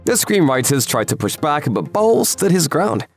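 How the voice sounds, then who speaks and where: Guy doing scripted video essay voiceover, sardonic and punchy, lots of pitch movement, some music behind him. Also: big pitch swings, normal speed, gravelly. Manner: sardonic, emphatic. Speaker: voiceover narrator. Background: background music.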